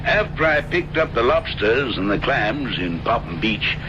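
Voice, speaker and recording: An earnest, folksy country innkeeper in a vintage radio play. He is plain-spoken and a little hesitant, yet insistent, with lively pitch. The sound is dull under loud vehicle noise. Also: a little slow. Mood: confiding. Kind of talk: old-time radio drama acting. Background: loud truck driving noise from a game.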